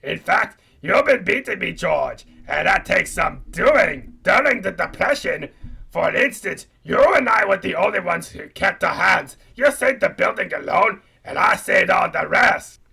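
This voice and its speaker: A man voicing a gloating old puppet banker, blunt and boastful, with a raspy, gravelly voice in a comic radio play. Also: high-pitched, expressive, unhurried, pushed and bright, exaggerated voice. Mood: gloating menace. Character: gloating old monster banker, male, a wild puppet tycoon.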